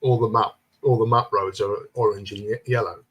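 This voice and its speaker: Husky man hosting a live chat. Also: on the low side, steady tone, brisk, fairly bright tone. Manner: jokey, banters and reads comments aloud. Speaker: host of a live stream. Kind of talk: casual live-stream chat reading viewer comments.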